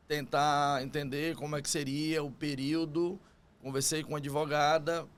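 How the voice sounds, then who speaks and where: A man's voice: a little high, slight background noise. A man from Salvador, Brazil, with a Bahian accent, telling his story conversationally as an interview guest. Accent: Bahian Brazilian Portuguese. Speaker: podcast guest, construction business owner.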